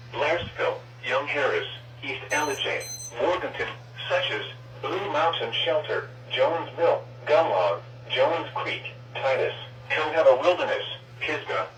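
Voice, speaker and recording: A man's voice: on the high side, distant mic. A synthetic text-to-speech man reads a formal emergency warning over weather radio in list-like phrasing, as an automated announcer. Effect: weather radio broadcast. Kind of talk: scripted emergency warning read-out. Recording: roomy, muffled, noisy weather radio capture.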